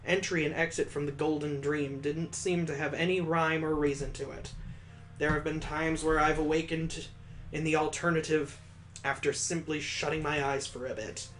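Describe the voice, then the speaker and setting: A man hosting a gaming video, reading visual novel text aloud in a playful, expressive way and adding joking asides of his own. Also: fairly high pitch, normal speed, slight background noise.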